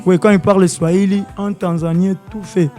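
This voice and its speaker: A man's voice: high voice, flat delivery, moderate pace, mellow, soft background music. A man from Congo, a musician guest in a radio studio interview, mixing in Swahili and hesitating with many fillers.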